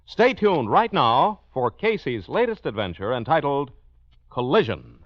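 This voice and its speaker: A man's voice: mid-range voice, big pitch swings, unhurried. A man announcing a network promo in polished, salesmanlike mid-century radio style. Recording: muffled vintage radio, faint music.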